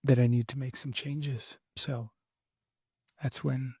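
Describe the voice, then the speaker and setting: A young man losing his voice, talking candidly and haltingly in a reflective personal monologue as a podcast host. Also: mid-range voice, flat delivery, slightly rough, dry recording, studio quality. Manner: halting, candid, many fillers and restarts.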